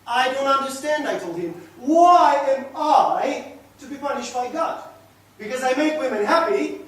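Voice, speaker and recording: A man acting a passionate, boastful, unrepentant young womanizer in a theatrical stage monologue, swinging between hushed murmurs and loud declarations. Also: sits high, expressive, moderate pace. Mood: defiant, pleading. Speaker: actor. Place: echoey indoor room, recorded from a distance. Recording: echoey room, distant mic, faint noise.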